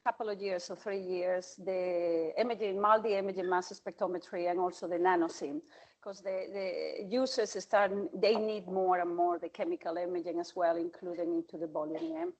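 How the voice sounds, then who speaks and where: Lowish-voiced woman presenting her facility. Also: moderate pace, darkish tone. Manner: plain, searching for words with some restarts. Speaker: imaging facility manager. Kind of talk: panel introduction. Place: conference hall at a forum.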